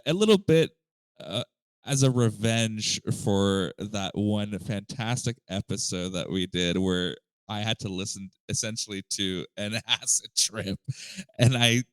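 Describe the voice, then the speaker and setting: A man chatting casually as a podcast guest, relaxed and talkative. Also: low voice, clean tone, no echo. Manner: relaxed, chatty with fillers and laughs.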